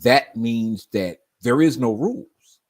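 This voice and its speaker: A man's voice: medium pitch, expressive, takes it slowly. A man in his forties telling stories in casual chat, often asking "you know what I mean?". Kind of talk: casual chat on a live stream.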